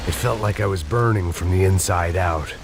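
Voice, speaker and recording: A man playing a bitter, burnt-out middle-aged bodyguard and ex-cop in a video game, narrating in a deadpan, sardonic noir style. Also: deep voice, normal speed, gravelly, background noise. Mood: grim, sardonic. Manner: world-weary, deadpan, wry. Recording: loud music over the voice.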